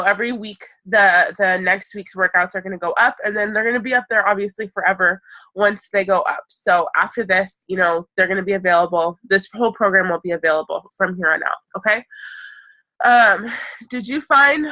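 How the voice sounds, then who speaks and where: A woman's voice: medium pitch, bright, forceful tone, dry recording, poor audio. A young woman fitness coach with a stuffy, congested voice from a cold, chatting casually and reassuringly on a live call, full of ums.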